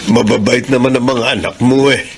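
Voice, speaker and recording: An actor playing a kindly, reassuring uncle in a Filipino radio drama. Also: male voice, medium pitch, fairly even intonation, brisk, slight background noise, natural voice. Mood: welcoming. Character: kindly, reassuring uncle welcoming his sister home.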